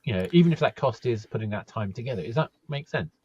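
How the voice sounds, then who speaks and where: A man's voice: mid-range voice, animated, quick pace, dark tone, slightly rough, muffled recording. A man hosting a podcast, affable with playful asides, in a casual discussion. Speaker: podcast host.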